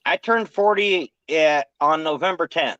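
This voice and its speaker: Man in his forties, casual banter, slightly high voice, dry muffled lo-fi sound. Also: measured pace, fairly bright tone, no echo. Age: 40s. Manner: casual banter, laughing, rambling restarts. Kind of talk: casual chat.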